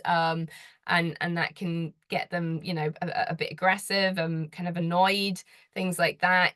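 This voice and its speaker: Earnest, low-voiced woman presenter. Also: dry recording. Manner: earnest, conversational, asks the listener direct questions; gets louder when enthusiastic. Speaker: presenter giving advice. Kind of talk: explaining a slide presentation.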